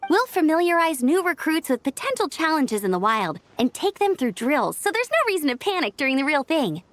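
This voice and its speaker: A woman's voice: high voice, very animated, brisk. A woman voicing an upbeat young scout in a video game, youthful, breezy and confident. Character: upbeat, energetic young female scout, eager to help.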